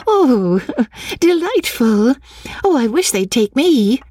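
A woman voicing an excitable, desperate young Englishwoman in an audiobook, gushing breathlessly and stammering over repeated words. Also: fairly high pitch, unhurried, breathy edge, no echo, pitched above the performer's own voice, exaggerated voice. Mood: gushing, excited. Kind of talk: audiobook character dialogue.

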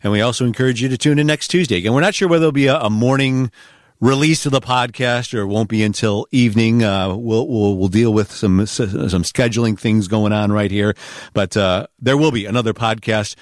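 A man hosting a sports podcast, delivering schedule notes and sign-offs in a measured, announcer-like tone. His voice sits low. It is recorded dry and close. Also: no room sound. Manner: measured, announcer-like wrap-ups. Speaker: podcast host.

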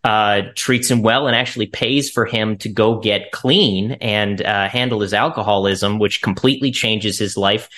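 A man's voice: fairly low pitch, fairly even intonation, moderate pace, few pauses, a bit bright. A man, an actor, answering conversationally as an interview guest.

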